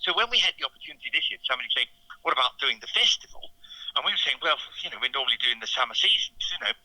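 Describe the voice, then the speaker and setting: Posh, theatrical man, very quick. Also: mid-range voice, animated, pushed and bright, no room sound, poor audio. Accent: 1950s Received Pronunciation. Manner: theatrical, plummy diction. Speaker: comedy entertainer. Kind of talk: radio interview guest.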